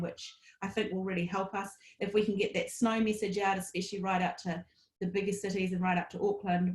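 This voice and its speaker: A woman's voice: medium pitch, darkish tone. Ski resort manager: upbeat New woman, chatty with ums, echoey room on a video call. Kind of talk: webinar business update. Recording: roomy echo on a video call.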